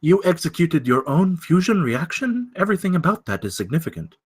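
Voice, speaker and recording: A man's voice: on the high side, sing-song, fairly quick, husky, dry recording, voice pushed up. A man voicing a polite older male scientist who speaks in formal, wordy sentences at a live script reading. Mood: amazed, delighted. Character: older silver-haired scientist, male, courteous, bookish, wounded.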